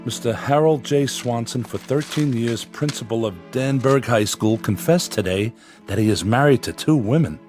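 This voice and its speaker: An actor playing a confident, wry and defiant small-town newspaper editor in a radio drama. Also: male voice, medium pitch, normal speed, a little mellow, faint music behind, close to an everyday voice. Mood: brisk, pleased.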